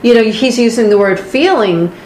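A woman's voice: on the high side, expressive, moderate pace, a little mellow. A woman with a Boston accent, co-hosting a podcast and musing through a book in casual discussion, with restarts and asides. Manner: thoughtful, talks in restarts and asides. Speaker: podcast co-host. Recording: echoey room with faint noise.

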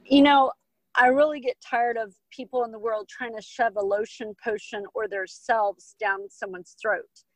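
A woman's voice: fairly high pitch, fairly even intonation, normal speed, no echo, low-quality recording. A woman from Texas and Hawaii with an American accent, answering interview questions as a podcast guest in an earnest, emphatic, conversational way. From Texas and Hawaii, United States. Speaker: podcast guest, business coach and author.

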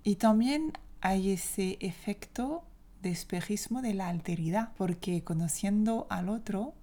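Woman, French accent, language teacher, solo podcast, reflective, opinionated, warm tone. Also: mid-range voice, measured pace. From France.

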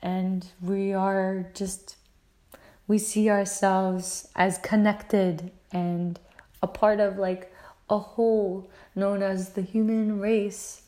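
A woman from the United States talks alone as a podcast host at an unhurried pace. Her pitch sits in the middle for a woman, and her manner is earnest and affirming, with casual phrasing that often leans on filler words. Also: flat delivery, dark tone. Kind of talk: casual solo podcast monologue.

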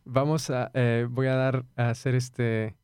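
A man's voice: mid-range voice, flat delivery, slow, over a noisy crowd. A man hosting a podcast, talking casually and searching for words as he thinks aloud. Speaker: podcast host.

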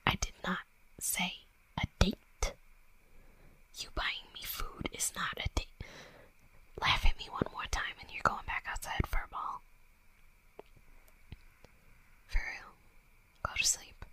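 A woman's voice: unhurried, pauses often, pushed and bright, in a whisper, poor audio. A woman voicing a tough young female werewolf bully in an intimate roleplay audio drama, brash and sweary but grudgingly tender. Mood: flustered, hushed.

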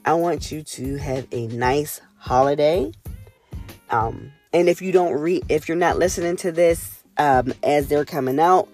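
A woman hosting her own podcast in a candid, casual monologue, her voice raspy and hoarse from a cold. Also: sits low, measured pace, a little mellow, faint music behind. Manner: candid, earnest, swears casually. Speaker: podcast host.

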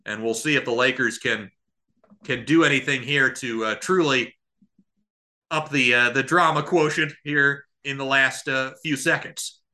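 A man calling a live basketball game as a radio play-by-play announcer, with an excitable delivery. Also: medium pitch, measured pace.

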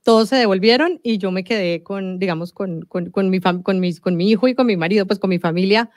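A woman's voice: mid-range voice, fairly quick, a little mellow, no room sound, clean studio sound. A middle-aged woman from Colombia with a Colombian accent, chatting as an interview guest with many fillers and restarts. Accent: Colombian Spanish. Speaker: singer-songwriter guest. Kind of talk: casual interview conversation.

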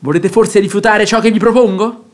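A man's voice: sits high, fairly even intonation, brisk, lightly acted. An actor playing a gullible, stubborn, hot-tempered rich nobleman in a radio drama, with an emphatic, impulsive delivery. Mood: defiant. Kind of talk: theatrical Italian radio drama acting.

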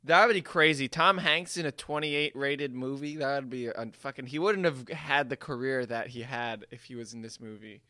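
A man's voice: mid-range voice, normal speed, hardly any pauses, sharp tone, no room sound, clean studio sound. A man co-hosting a podcast with casual, joking banter. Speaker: podcast co-host.

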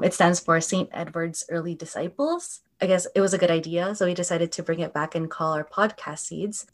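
A young woman of twenty-two with a somewhat low voice talks on a church youth podcast. She pauses often and fills gaps with hesitant ums. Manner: hesitant, many fillers. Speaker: youth ministry leader, podcast co-host. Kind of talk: casual podcast chat.

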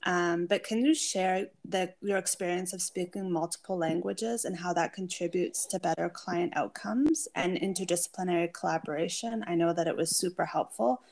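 A woman's voice: fairly low pitch, vocal fry, darkish tone. A woman hosting a podcast, asking questions in a casual, informal and encouraging way. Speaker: podcast host.

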